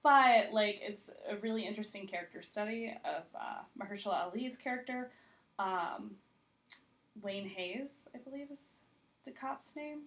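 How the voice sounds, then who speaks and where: A woman's voice: mid-range voice, moderate pace, a bit bright. An American woman speaking as a TV critic guest, informal and conversational, often saying "like". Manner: informal, frequent "like". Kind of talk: casual interview chat.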